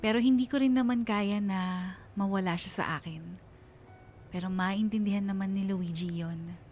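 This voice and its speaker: A young woman, played by an actress narrates in the first person for a radio drama, confiding and reflective. Her voice is mid-pitched, set over clearly heard music. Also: steady tone, moderate pace, a little mellow, lightly acted. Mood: wistful.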